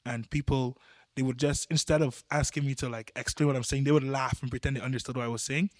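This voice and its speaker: A man's voice: mid-range voice, steady tone, fast, no room sound. A young man from Barbados with a Barbadian accent, explaining his views earnestly in a casual youth podcast discussion. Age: young adult. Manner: earnest, explanatory, trails off quietly.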